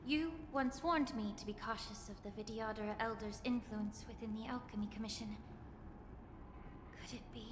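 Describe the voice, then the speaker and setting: An actress voicing a composed, sharp-witted female official in a video game, speaking in a measured, formal, analytical way. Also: on the high side, noisy background, natural voice. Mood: suspicious, analytical. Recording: echoey hall reverb, music behind.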